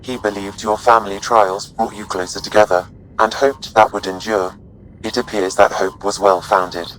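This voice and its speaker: An actor voicing a calm, courteous male artificial intelligence in a video game, speaking in measured, formal, precise phrases. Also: lowish voice, soft background music, close to an everyday voice, poor audio. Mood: calm, explanatory.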